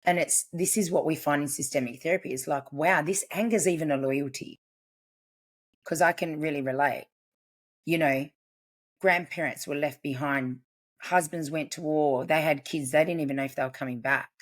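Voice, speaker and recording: A woman, a family constellations practitioner and podcast guest, explaining her ideas earnestly in casual chat. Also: low-pitched. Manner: earnest, explanatory, quick bursts.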